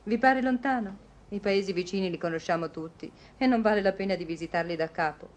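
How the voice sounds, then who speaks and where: A woman's voice: medium pitch, quick pace, lightly acted. Woman acting a poised, frank young lady. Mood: frank, playful. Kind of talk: vintage Italian radio comedy acting.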